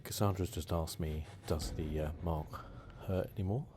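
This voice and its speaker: A man giving soft-spoken ASMR commentary on a game playthrough in a casual, hesitant, chatty way. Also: sits low, steady tone, moderate pace. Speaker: ASMR gaming channel host.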